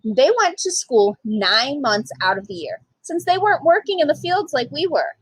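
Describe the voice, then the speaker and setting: A woman reading a children's picture book aloud as a storyteller, with emphatic, expressive delivery for young listeners. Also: high voice, moderate pace, dry recording. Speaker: storytime reader, literacy program manager.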